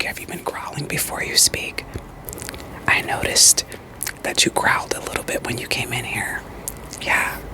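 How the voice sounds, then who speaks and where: A woman whispering an ASMR roleplay as a therapist, in a soft, deadpan, gently questioning manner. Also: very deep voice, gravelly, poor audio.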